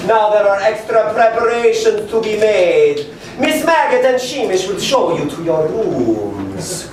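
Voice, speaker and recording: A pompous, irascible mad scientist baron in a campy theatrical reading. His high voice swings broadly as he declaims and shouts. It is caught from far off in a noisy, echoing room. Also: sing-song, unhurried, crowd noise. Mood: haughty, irritable. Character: grandiose, short-tempered mad scientist baron, male. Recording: distant mic, noisy echoey live room.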